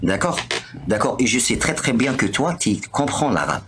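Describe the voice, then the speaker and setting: A man whose first language is Arabic, calling into a live debate in halting speech and checking "d'accord?" over and over. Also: mid-range voice, very animated, noisy background. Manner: halting, keeps asking "d'accord?". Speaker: caller.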